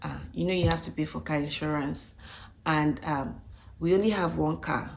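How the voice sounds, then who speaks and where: Low-pitched, croaky woman vlogger. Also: steady tone, normal speed, poor audio. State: croaky voice, recovering from flu. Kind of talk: casual budget breakdown talk to viewers.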